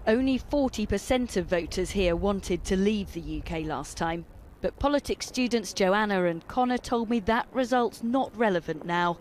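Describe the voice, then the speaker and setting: A woman news reporter narrating a television report with a measured, clear delivery. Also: medium pitch, darkish tone, a little hiss or hum.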